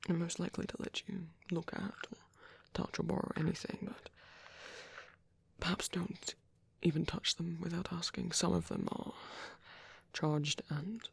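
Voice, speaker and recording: Breathy, creaky, gentle vampire priest acted by a man. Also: on the high side, fairly even intonation, unhurried, no echo, full character voice. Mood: gently cautioning. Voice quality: breathy, soft, often dropping to a whisper. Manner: hesitant, reassuring, tender. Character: gentle, caring male vampire priest, protective and reclusive. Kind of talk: ASMR roleplay, soft intimate monologue.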